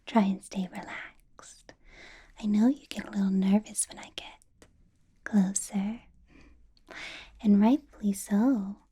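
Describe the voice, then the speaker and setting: A woman playing a possessive, doting vampire boss in an ASMR roleplay, whispering in a breathy, teasing, intimate way. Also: medium pitch, steady tone, mellow. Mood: teasing, menacing. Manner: slow, teasing, intimate personal-attention delivery.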